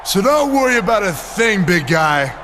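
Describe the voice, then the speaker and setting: A vain, cocky pro wrestler in a video game. The voice sits high and sounds smug and showy, always boasting. Clear noise runs behind it. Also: male voice, big pitch swings, moderate pace. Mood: smug, breezy. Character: cocky vain male muscleman wrestler, self-styled masterpiece. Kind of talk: video game cut scene acting.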